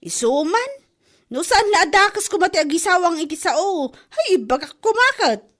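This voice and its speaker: Lady doing a feisty ilocano village woman, really high voice, exclaims and scolds, comedy drama. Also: big pitch swings, normal speed, a bit bright, exaggerated voice. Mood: annoyed.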